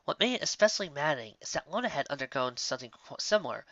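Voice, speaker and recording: A man's voice: a little high, very animated, racing, a bit bright, no room sound, highs cut off. A man reading scripted fan fiction aloud as the narrator.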